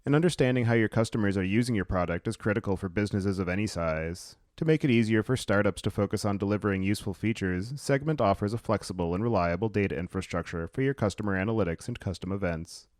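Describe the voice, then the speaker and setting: Low-voiced man hosting, very dry sound. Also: quick pace, hardly any pauses, a little mellow, no room sound. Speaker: podcast host. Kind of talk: scripted podcast read and interview.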